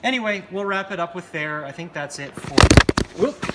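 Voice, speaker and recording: A man hosting an unscripted game demonstration, casually thinking aloud in a halting, rambling way. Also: high-pitched, lively intonation, bright, forceful tone, slight background noise. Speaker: video host. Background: arcade game music.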